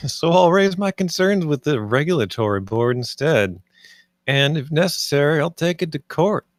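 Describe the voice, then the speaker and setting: An actor at a film table read playing a gruff, mocking spaceship assessor with a sardonic, dismissive manner. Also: male voice, a little high, expressive, moderate pace, dry recording, studio quality, natural voice. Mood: stern.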